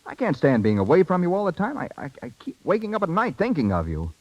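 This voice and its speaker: An actor playing an awkward, lovesick young husband who pleads haltingly, in a muffled 1930s radio drama. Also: male voice, sits high, wildly swooping pitch, a little mellow, exaggerated voice. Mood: pleading. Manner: halting, stammering.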